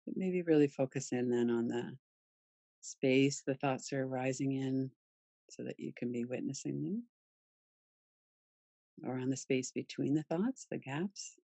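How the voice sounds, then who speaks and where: A woman leading a guided relaxation meditation in a soothing, gentle, unhurried manner with pauses. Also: very deep voice, creaky, no echo. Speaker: yoga nidra meditation guide.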